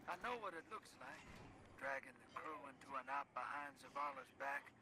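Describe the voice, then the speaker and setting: A man's voice: medium pitch, expressive, moderate pace, background noise, lightly acted. A man playing a folksy, roguish drifter in a video game, speaking in a weary, confiding, colloquial way. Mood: confiding, grave. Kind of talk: scripted video game monologue.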